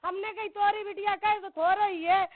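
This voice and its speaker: A woman's voice: extremely high pitch, steady tone, moderate pace. A middle-aged woman with a rural western Uttar Pradesh accent, arguing accusingly on a phone call and cutting in often. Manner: argumentative, accusatory, interrupting. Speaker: caller, mother in a family dispute. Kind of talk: heated phone argument. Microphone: telephone. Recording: narrowband phone call recording.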